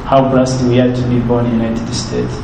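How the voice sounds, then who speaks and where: Young guy, rwandan accent, kinyarwanda native, earnest and halting, restarts a lot, roomy sound w noise behind. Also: mid-range voice, completely flat delivery. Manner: earnest, halting, repeats words while searching. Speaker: interviewee. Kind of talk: heartfelt interview answers.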